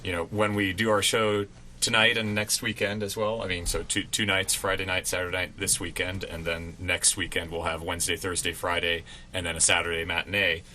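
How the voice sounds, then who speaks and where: Bright, low-voiced man in casual interview. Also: flat delivery, brisk, slight background noise. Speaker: radio theater performer, interview guest. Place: college radio station.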